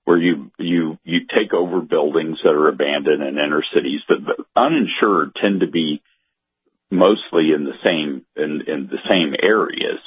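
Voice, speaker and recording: A man giving halting political commentary as a guest on talk radio, often repeating words. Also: extremely low pitch, slightly rough. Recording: muffled, narrow phone-like radio audio.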